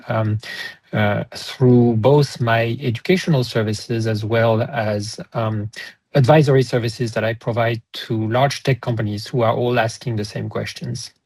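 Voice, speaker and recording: A man with a French accent introduces himself on a panel. He talks haltingly with many pauses and fillers. The sound is dry and close. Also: medium pitch, a little mellow, no echo. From France. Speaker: sustainability consultant.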